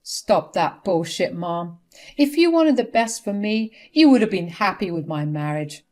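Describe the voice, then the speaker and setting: A woman's voice: medium pitch, lively intonation, unhurried, a little mellow, lightly acted. A woman voicing a fed-up adult son who speaks firmly and coldly. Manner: firm, cold.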